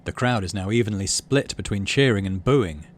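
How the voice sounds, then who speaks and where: A man, middle-aged, hosting a game playthrough, mixing casual commentary with expressive story reading. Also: fairly low pitch, normal speed, a little hiss or hum. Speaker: let's play host.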